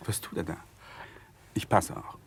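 An actor in a 1960s German radio drama playing an incredulous, scandalised upper-class card player. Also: male voice, a little slow, a little hiss or hum, natural voice.

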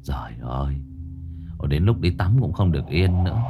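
Male reader playing flustered young man in a ghost story, exasperated and appeasing, animated low-ish voice. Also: a little fast, music in the background. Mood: weary, grumbling. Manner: flustered protesting, stammering, soothing. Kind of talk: audio ghost story character voice.

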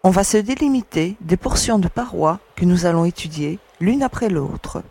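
A woman voices a resolute young explorer on an alien world. She reasons aloud in a calm, commanding way, dry and close to the mic. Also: on the low side, normal speed, creaky, breathy edge, no room sound, full character voice. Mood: steady, reasoning. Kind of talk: audio drama acting.

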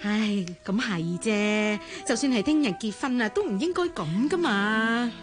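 A woman's voice: fairly high pitch, lively intonation, a little slow. Mildly nagging mother, music behind. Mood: indulgent. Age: middle-aged. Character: gently scolding, indulgent mother of grown daughter. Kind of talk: Cantonese radio drama acting. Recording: music clearly under the voice.

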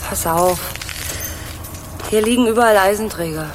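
A woman's voice: mid-range voice, normal speed, natural voice. Actress as a brash young woman, noisy background. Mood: casual, provocative. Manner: curt, casual, provocative. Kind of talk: radio crime drama dialogue.

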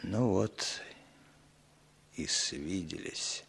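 A brooding aging impostor, low voice, slow and sentimental, radio play. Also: male voice, gravelly, a bit bright, slightly rough, exaggerated voice. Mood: heavy sentimental. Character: aging male impostor posing as a long-lost brother. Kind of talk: 1960s radio drama acting.

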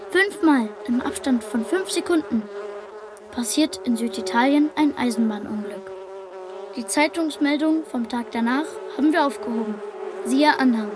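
A woman's voice: high-pitched, noisy background. A woman playing an earnest child in a radio play, solemnly reciting as if from a book.

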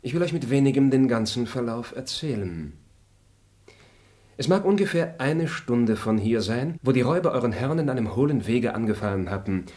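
An actor voicing a composed, fair-minded gentleman traveler in a radio play, speaking in a measured, formal and articulate way. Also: mid-range voice, lively intonation, lightly acted. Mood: calm. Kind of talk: German radio play acting.

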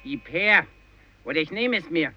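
A man's voice: fairly high pitch, animated, normal speed. An actor playing a desperate, greedy fisherman who is aggressive and demanding, in a 1950s German radio drama. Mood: angry, demanding. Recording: dull muffled old radio recording.

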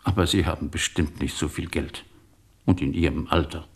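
An actor in a German radio play, playing a talkative, sentimental immigrant shopkeeper who tells things with insistent emphasis and a gravelly voice. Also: male voice, a bass voice, fairly even intonation. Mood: earnest, urging. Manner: effusive, insistent storytelling with emphatic pauses.

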